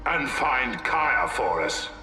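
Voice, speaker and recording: An actor playing a blunt, skeptical Space Marine soldier in a game cutscene. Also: male voice, medium pitch, sing-song, slow, bright, forceful tone, echoey room, long reverb tail, soft background music, heavily acted.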